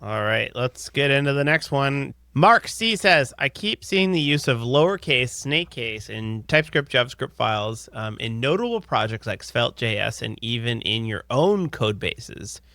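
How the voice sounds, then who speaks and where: A man in his thirties co-hosting a podcast, upbeat, reading listener questions and riffing in casual chat from a home studio on a close boom mic. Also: lively intonation, unhurried, few pauses, pushed and bright, no echo. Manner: upbeat, reads listener questions then riffs loosely. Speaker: podcast co-host. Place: home studio with headphones, indoors. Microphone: close studio mic on boom arm.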